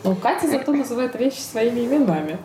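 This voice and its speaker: A woman co-host explaining in a measured, halting way during a conversational podcast discussion. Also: on the high side, big pitch swings, a crowd in the background. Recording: roomy echo, faint background noise.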